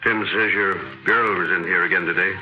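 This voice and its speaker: A man's voice: low-pitched, steady tone, brisk, slight background noise, close to an everyday voice. An actor playing a gloomy barman who mumbles and grumbles hesitantly, in an old-time radio drama. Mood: grumbling. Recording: dull, muffled vintage radio recording.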